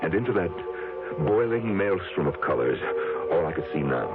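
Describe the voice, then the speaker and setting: An actor playing a tormented, brooding husband sliding into madness, theatrical, in 1970s radio drama style. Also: male voice, low-pitched, sing-song, moderate pace. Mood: dark, entranced. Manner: theatrical, swinging from murmured brooding to agitated outbursts. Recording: tinny band-limited old radio, music behind.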